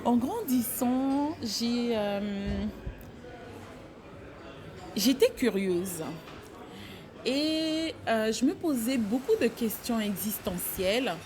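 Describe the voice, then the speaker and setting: A woman from French Guiana, the founder of a fashion brand, talks about her childhood in an interview, slowly and hesitantly, with many fillers. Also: on the high side, lively intonation, a crowd in the background.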